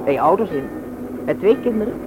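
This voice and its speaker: A woman's voice: fairly low pitch, expressive, fast, close to an everyday voice. An actress playing a forward, businesslike single woman who probes a suitor in a Dutch radio play. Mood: probing, bossy. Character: brisk, bossy, practical single woman sizing up a suitor. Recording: noisy old radio recording.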